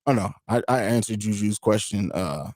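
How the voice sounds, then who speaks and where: A hoarse man with a raspy voice hosting a live fan stream, talking emphatically and repeating himself, with casual swearing. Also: on the low side, normal speed, darkish tone, no echo, clean studio sound. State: hoarse from screaming. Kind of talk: excited sports fan stream chat.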